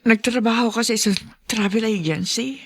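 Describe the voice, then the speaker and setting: A woman's voice: a little high, normal speed. An actress playing a remorseful middle-aged mother in a Filipino radio drama, speaking tenderly and apologetically with emotion. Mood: anguished confession. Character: remorseful middle-aged mother reuniting with her given-away daughter.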